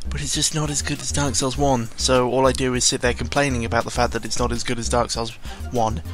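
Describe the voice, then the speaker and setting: A man's voice: mid-range voice, flat delivery, brisk. A man giving casual, unscripted gaming commentary in a rambling, self-deprecating, sweary way. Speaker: gaming video creator. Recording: music behind the voice. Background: background music.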